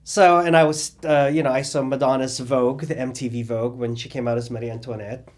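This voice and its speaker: Middle-aged man, animated storyteller, lots of fillers, mid-range voice. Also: medium pitch, a little fast. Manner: rambling, animated storytelling with many fillers and restarts. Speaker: interviewee, cabaret singer and drag performer. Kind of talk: oral history interview.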